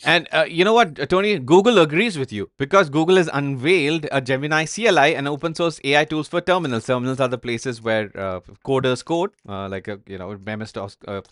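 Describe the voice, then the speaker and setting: A man's voice: mid-range voice, a little fast, few pauses, a bit bright, no room sound, clean studio sound. A man co-hosting a talk show, discussing news stories casually, with many fillers and hesitations. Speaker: podcast co-host.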